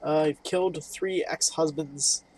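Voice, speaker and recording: A man's voice: a little high, fairly even intonation, measured pace, darkish tone, slight background noise, low-quality recording. A man hosting a gaming video, casually reading game text aloud and reacting with informal, muttered asides. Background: game music.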